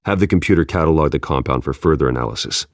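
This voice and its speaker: An actor playing a grim, menacing masked vigilante in a video game, terse and controlled, in a gravelly growl. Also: male voice, very deep voice, fast, dry recording, clean studio sound. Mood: analytical, terse.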